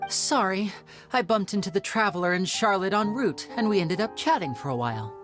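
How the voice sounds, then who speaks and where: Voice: medium pitch, lively intonation, a little fast, over music, close to an everyday voice. An actor playing a polite, composed young magician in a video game. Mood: casual, puzzled.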